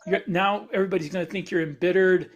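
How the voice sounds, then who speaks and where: Male podcast co-host, fairly high voice, loose brainstorming chat with halting, repeated words. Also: steady tone, dull audio.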